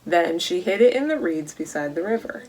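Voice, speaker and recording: A woman reading a Bible story aloud to children in a deliberate way, posing questions to young listeners as she goes. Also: fairly low pitch, lively intonation, a little mellow, slight background noise, poor audio. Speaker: children's storytime reader at a church.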